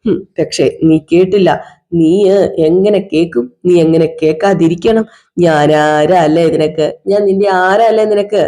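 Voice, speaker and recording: Drunk, sulking husband, played by a woman: slow sarcastic drawl, mellow dark voice. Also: fairly low pitch, natural voice. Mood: scolding. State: drunk (as acted). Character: drunk, bitter middle-aged husband, self-pitying. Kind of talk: one-person domestic drama skit.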